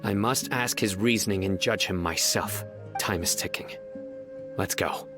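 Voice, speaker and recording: An actor playing a stern, principled investigator in a video game, speaking in a terse, solemn, deadpan way. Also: male voice, low-pitched, unhurried. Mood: stern, probing. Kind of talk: dramatic game dialogue. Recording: background music under the voice. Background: music.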